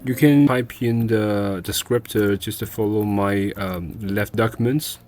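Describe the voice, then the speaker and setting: A man presenting a step-by-step software tutorial in an unscripted, halting way, pausing to search for words. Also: lowish voice, steady tone. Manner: hesitant, halting delivery with searching pauses.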